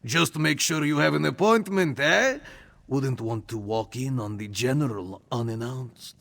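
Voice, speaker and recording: Vain cat-man swindler, fantasy game, gravelly, drawn-out delivery, self-important. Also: mid-range voice, a bit bright. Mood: smug. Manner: boastful, refers to himself in third person. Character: vain Khajiit cat-man forger, sly and self-praising. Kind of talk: video game character acting.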